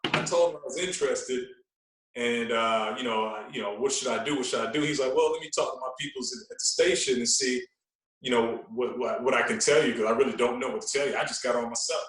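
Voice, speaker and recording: A man tells stories casually as an interview guest on a podcast. His voice sits at a middling pitch, with an animated, repetitive way of talking. It is picked up a little away from the mic in an echoey room. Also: fast, distant mic. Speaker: podcast guest, radio personality.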